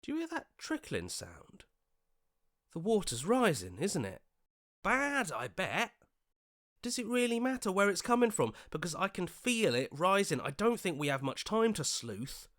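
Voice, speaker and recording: An anxious, earnest young hero from a video game, pleads and hesitates as he speaks. His pitch moves about a lot, sliding between worry and protest. The sound is dry and close, with no room on it. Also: very animated, measured pace, fairly bright tone, dry recording, heavily acted. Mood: frightened.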